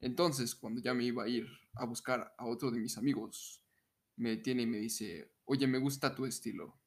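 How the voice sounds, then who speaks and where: A man's voice: mid-range voice, moderate pace. Jokey young Mexican man, laughs easily. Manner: jokey, prone to breaking into laughter. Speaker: podcast co-host. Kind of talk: casual comedic chat and story reading.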